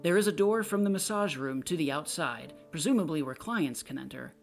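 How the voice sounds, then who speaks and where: A woman narrates an audio play, reading out stage directions in a scripted style. Her voice sits low for a woman, and faint music plays along. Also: expressive, fairly quick, creaky.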